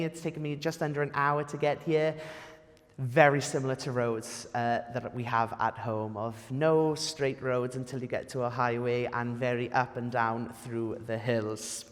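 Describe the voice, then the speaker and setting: A man's voice: mid-range voice, fairly bright tone. A young Welsh man, a native Welsh speaker, giving a friendly public history lecture with lots of "um" fillers. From Wales. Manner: friendly, self-deprecating, many "um" fillers. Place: lecture hall. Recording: reverberant hall.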